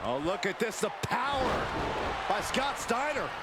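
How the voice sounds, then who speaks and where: A man giving excited, emphatic play-by-play commentary in a wrestling video game. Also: high voice, unhurried. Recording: loud arena crowd noise behind.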